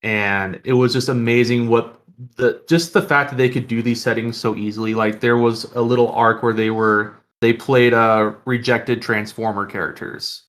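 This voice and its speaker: A man's voice: medium pitch, little pitch movement, normal speed, fairly bright tone. A man co-hosting a podcast in casual, rambling, jokey conversation. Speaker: podcast co-host.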